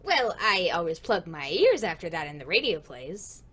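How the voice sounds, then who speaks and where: A woman's voice: fairly low pitch, very animated, normal speed, a bit bright, husky, full character voice. A woman voicing an earnest, polite and naive little boy who works as a sound artist in an audio comedy drama.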